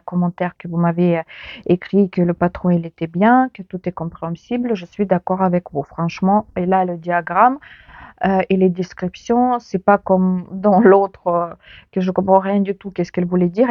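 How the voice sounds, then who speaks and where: A woman's voice: fairly low pitch, dry recording, dull audio. A woman whose first language is Ukrainian, hosting a knitting podcast in a casual chat to viewers, with many hesitations and filler sounds.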